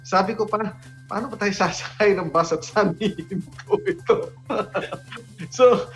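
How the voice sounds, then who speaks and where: A man with a Filipino accent hosting a casual panel talk, speaking haltingly with repeated starts. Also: high-pitched, fairly bright tone. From Philippines. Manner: halting, with hesitant repetitions. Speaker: talk show host. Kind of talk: casual panel talk in mixed Tagalog and English. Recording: music behind the voice. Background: background music.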